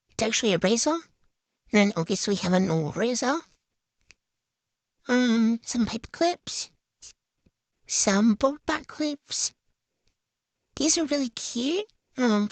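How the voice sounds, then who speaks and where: A woman's voice: on the high side, no room sound. A woman giving a casual, chatty show-and-tell voice-over in a hesitant, unscripted way that tends to trail off. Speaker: vlogger.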